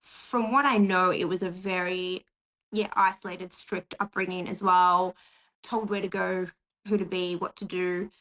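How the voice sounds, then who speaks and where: A woman with an Australian accent, a podcast guest telling her life story in a conversational, hesitant way with frequent pauses. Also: on the low side, steady tone, a bit bright, low-quality recording. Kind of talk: personal storytelling.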